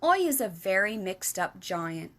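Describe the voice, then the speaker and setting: A woman's voice: medium pitch, very animated, unhurried, a bit bright. A woman voicing a big friendly male giant for a children's storybook, exuberant and kindly, with mangled grammar and emphatic nonsense words. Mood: muddled, blustery. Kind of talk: animated children's storybook reading.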